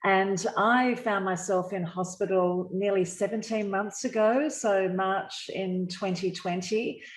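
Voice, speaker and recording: A woman's voice: mid-range voice, steady tone, slow pace. An Australian woman, a guest on a video call, telling her own story in a chatty, upbeat way. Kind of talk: casual personal account. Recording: echoey room on a call.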